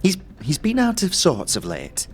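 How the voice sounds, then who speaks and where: An actress playing a timid, worried middle-aged working-class wife in an audio drama, speaking haltingly and repeating her words. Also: low-pitched, wildly swooping pitch, slight background noise. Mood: fearful, helpless.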